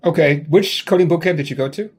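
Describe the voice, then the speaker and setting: A man's voice: on the high side, fast, breathy edge. A man hosting a casual tech interview over a video call. He talks loosely and chattily, with lots of "like" and many restarts. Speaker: podcast host.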